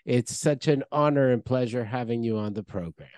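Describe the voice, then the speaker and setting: A man's voice: mid-range voice, normal speed, a little mellow, dry recording. A middle-aged man hosting an interview over a video call, reading formal, enthusiastic introductions into a headset mic. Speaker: interview host. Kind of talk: scripted intro then interview questions. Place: office with branded backdrop. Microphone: headset with boom mic on a video call.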